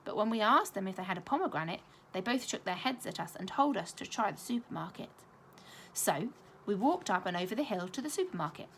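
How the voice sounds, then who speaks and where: Woman reading a kids book aloud, very expressive, lively pitch, bit of noise behind her. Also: brisk. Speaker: primary school teacher reading aloud. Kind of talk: expressive children's story reading.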